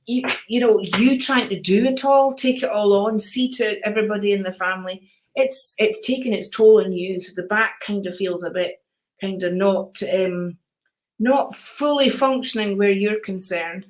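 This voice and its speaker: An older Scottish woman hosts a live card-reading stream, chatting easily with her viewers. Her voice is calm and soothing, and she keeps slipping in endearments like darling and sweetheart. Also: mid-range voice, unhurried, low-quality recording.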